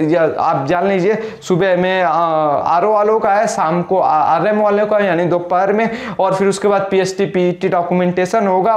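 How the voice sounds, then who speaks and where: A man's voice: high-pitched, reverberant. A young man talking straight to camera, giving urgent, repeated advice about filling out a recruitment form, gesturing a lot as he speaks. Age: young adult. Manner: urgent, exhorting, repeats instructions, heavy hand gestures. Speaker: exam coaching YouTuber. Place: small indoor room. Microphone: camera in front, mid-close framing.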